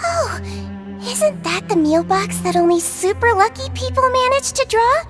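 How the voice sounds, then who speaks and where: A woman voicing a small, sweet, caring nurse in a video game, gentle and politely concerned, with music behind her. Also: extremely high pitch, expressive, moderate pace. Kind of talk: video game character acting.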